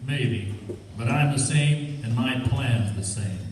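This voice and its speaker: A man's voice: medium pitch, slow pace, close to an everyday voice. A man playing a cocky, scheming fur thief with slangy tough-guy talk in an old-time radio crime drama. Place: live stage performance in a hall. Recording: echoey hall, distant mic, noisy.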